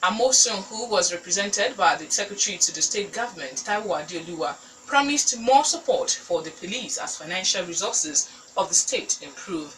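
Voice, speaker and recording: Bright-voiced Nigerian woman newsreader. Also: fairly low pitch, animated, moderate pace, far from the mic, slight background noise, low-quality recording. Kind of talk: scripted news reading.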